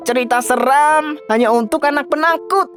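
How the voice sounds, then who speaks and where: A woman's voice: high-pitched, a little slow, faint music behind. A bold curious young boy. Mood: daring.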